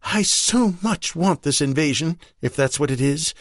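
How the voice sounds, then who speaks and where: A man voicing a frightened middle-aged woman in wartime who pleads in a high, shaky voice. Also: animated, a little slow, no room sound, studio quality. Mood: fearful.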